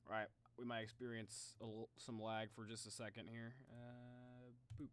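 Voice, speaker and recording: A man livestreaming in a casual, halting way, chatting informally to his viewers and muttering to himself. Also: mid-range voice, monotone, smooth. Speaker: live streamer reacting to game videos.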